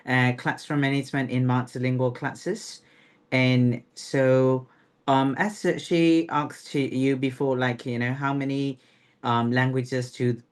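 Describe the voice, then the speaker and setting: A man's voice: medium pitch, clear, resonant tone. A young man from Myanmar with a Burmese accent, a teacher educator presenting a webinar over a video call, hesitant with many fillers.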